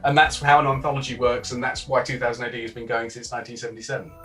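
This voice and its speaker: A man's voice: mid-range voice, level tone, racing, fairly bright tone, recorded from a distance, faint music behind. A man giving enthusiastic commentary in an interview.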